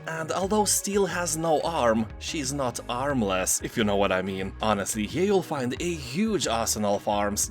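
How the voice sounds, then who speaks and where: A man narrating a scripted rundown of discounted games, slipping puns and wry asides into his reviews. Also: medium pitch. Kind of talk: scripted narration of game deals. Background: faint background music.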